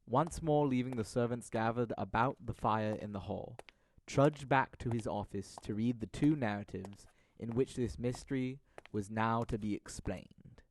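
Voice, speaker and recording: A man's voice: mid-range voice, normal speed, a little hiss or hum, rough recording. A young man giving scripted narration for an audio drama. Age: young adult.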